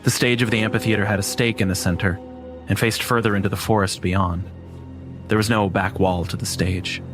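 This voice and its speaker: Man, low voice, scripted horror story narration, music bed clearly underneath. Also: fairly even intonation, fairly quick.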